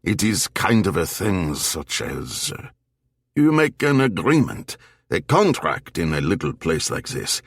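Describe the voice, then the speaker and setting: Man voicing a gruff old German barfly, deep-voiced. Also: wildly swooping pitch, dry recording, studio quality, heavily acted. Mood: musing, fatalistic. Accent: thick German. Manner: blunt, halting non-native English. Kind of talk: audiobook character dialogue.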